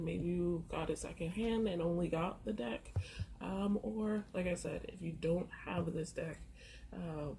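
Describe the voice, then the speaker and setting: A woman talks slowly and unhurriedly, her voice a touch low and mellow, roughened by a sore throat. She halts often and fills gaps with soft hesitations while explaining steps casually to her viewers. Faint street noise drifts in from outside her apartment. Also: poor audio. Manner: unhurried, halting, with many filler pauses. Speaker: tarot and oracle card content creator. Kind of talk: casual instructional walkthrough. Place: first-floor apartment on a busy street. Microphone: camera.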